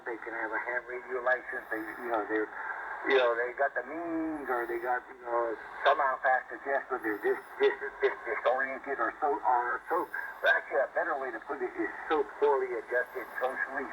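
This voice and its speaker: Rambling man on a noisy ham radio. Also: mid-range voice. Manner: rambling, many fillers and restarts. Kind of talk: casual on-air radio chat. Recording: noisy shortwave radio reception.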